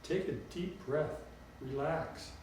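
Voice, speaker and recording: A man's voice: on the high side, moderate pace, natural voice. Frustrated, wistful granddaughter in an audiobook, read by a man, distant and very roomy sound. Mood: subdued. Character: troubled grown granddaughter, frustrated and wistful woman. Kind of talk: audiobook character dialogue. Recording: very echoey room, distant mic, faint noise.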